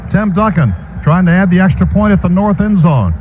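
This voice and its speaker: Male American radio football announcer, slightly high voice, clear stadium noise behind. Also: animated, fairly quick. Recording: noisy live stadium radio broadcast. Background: loud stadium ambience.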